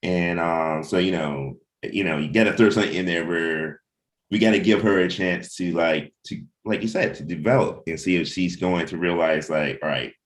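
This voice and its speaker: A man's voice: low-pitched, normal speed. A man in a casual creative discussion as a writer and host, rambling and often saying "you know". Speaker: comic book writer hosting a table read discussion.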